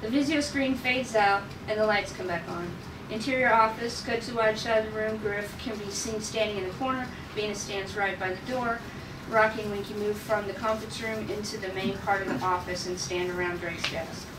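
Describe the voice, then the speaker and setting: A woman's voice: mid-range voice, fairly even intonation, moderate pace. A woman narrating stage directions from a script at a table read. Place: room at a group table read. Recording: echoey noisy room, far from mic.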